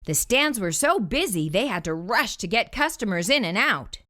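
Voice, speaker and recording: A woman reading a children's picture book aloud as a storyteller, in an animated way with theatrical emphasis. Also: medium pitch, moderate pace.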